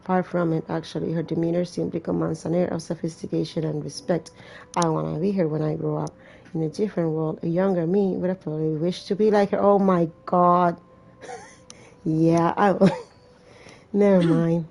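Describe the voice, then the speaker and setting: A woman's voice: lowish voice, level tone, moderate pace, creaky, darkish tone, a little hiss or hum, poor audio. A woman reading a visual novel aloud as a gamer, with casual, irreverent and sweary asides. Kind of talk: let's-play reading with casual commentary.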